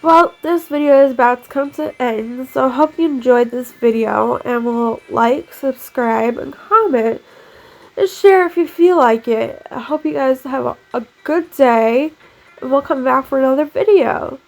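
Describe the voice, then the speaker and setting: A woman's voice: sits high, unhurried, vocal fry, rough recording. Congested young woman chatting over game building. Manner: chatty and rambling, lots of "like" fillers. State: congested, nasal from a cold. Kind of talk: casual voice-over commentary while building in a game. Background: faint background music.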